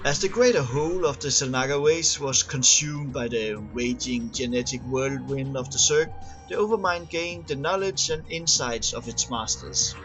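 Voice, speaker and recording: A man's voice: medium pitch, normal speed, a bit bright, highs cut off. A man reading scripted lore aloud as an amateur narrator, sometimes stumbling and repeating words. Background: music under the voice.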